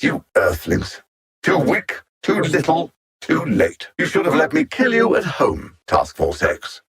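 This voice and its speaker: An actor playing an arrogant, cold alien supervillain in a video game, haughty and theatrical, savoring every word. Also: male voice, a little high, wildly swooping pitch, unhurried, creaky, husky, heavily acted. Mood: taunting, contemptuous.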